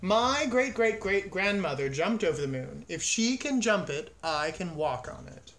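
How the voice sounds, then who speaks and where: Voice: medium pitch, very animated, a little slow, a bit bright. Reader putting on a weepy stubborn cow from a kids book, theatrical, voice swooping all over. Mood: determined. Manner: bellowing, wailing, declaring theatrically. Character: stubborn, dramatic, weepy cow longing for the moon. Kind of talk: picture book read-aloud character voice.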